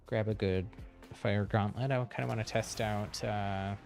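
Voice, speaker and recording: Man, gravelly low voice, easygoing game streamer, thinking aloud, clear music behind. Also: fairly even intonation, a little slow, darkish tone. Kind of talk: casual live gaming commentary.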